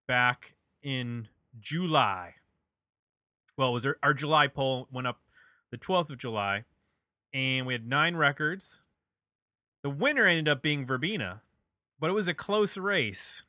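A man's voice: mid-range voice, pushed and bright, no room sound, studio quality. A middle-aged man chatting casually as the host of a music podcast.

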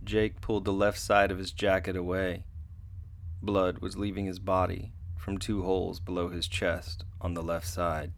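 A man giving somber, measured, scripted dramatic narration for radio theatre. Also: low-pitched, level tone, creaky, slight background noise.

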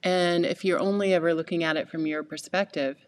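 A woman's voice: fairly low pitch, steady tone, brisk. A woman co-hosting a podcast in casual, chatty conversation, lying on the floor of a yoga studio. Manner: chatty, laughs often, fillers. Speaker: podcast co-host.

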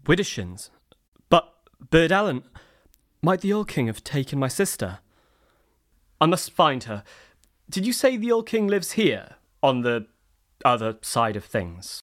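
A man's voice: on the high side, sing-song, measured pace, long pauses, a bit bright. An actor playing a determined, questioning young man in an audio play, plain and direct. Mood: urgent.

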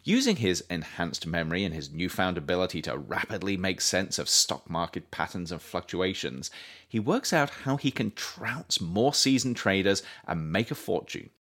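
Low-voiced man narrating, widely varied intonation. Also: very animated, normal speed, fairly bright tone. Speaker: author narrating his own book. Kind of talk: scripted audiobook narration.

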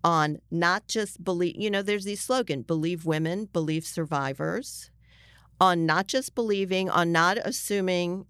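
A woman with an American accent and a fairly low, clear voice talks in a conversational interview. She explains with emphasis, pausing and restarting as she builds her point. The sound is dry and close. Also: a bit bright, no echo. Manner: explanatory, emphatic, halting mid-sentence. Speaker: journalist being interviewed.

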